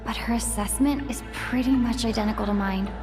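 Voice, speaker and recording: An actress playing a thoughtful young investigator in a video game, speaking in a measured, introspective monologue. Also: female voice, on the high side, lightly acted. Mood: pensive. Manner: measured, pensive. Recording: reverberant, faint music behind.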